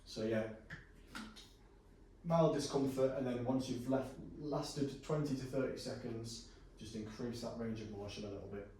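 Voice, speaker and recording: Casual trainer in an echoey gym. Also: male voice, mid-range voice, moderate pace, background noise. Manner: relaxed, reassuring instructions with frequent fillers. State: going hoarse. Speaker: personal trainer. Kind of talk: coaching a client through stretches. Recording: echoey room from a distance.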